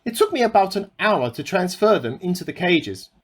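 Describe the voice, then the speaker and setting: Earnest, animated amateur scientist, played by a man. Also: sits high, normal speed. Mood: weary, musing. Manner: earnest, self-important, musing aloud in dated log entries. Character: eccentric amateur scientist man recording his secret experiment diary. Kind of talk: scripted radio-play diary monologue. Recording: home-recorded amateur radio play.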